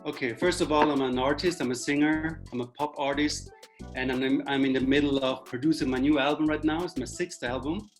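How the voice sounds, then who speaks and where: An Italian man whose first language is Italian, speaking in slightly halting English and searching for words as an interview guest. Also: a little high, steady tone, faint music behind. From Italy. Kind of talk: video call interview.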